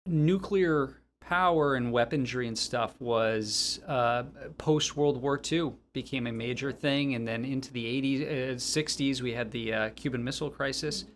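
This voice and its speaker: A man's voice: mid-range voice, a little slow, hardly any pauses, a little hiss or hum. A man interviewing someone conversationally, putting questions about life and memories.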